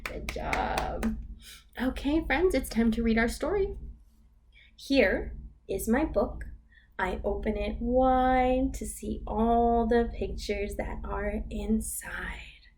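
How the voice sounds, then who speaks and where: Slow, sing-song woman reading to babies. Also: a little high, a little mellow. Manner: slow, sing-song and exaggerated, with gasps and whispers. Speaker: children's librarian. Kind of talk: baby storytime reading.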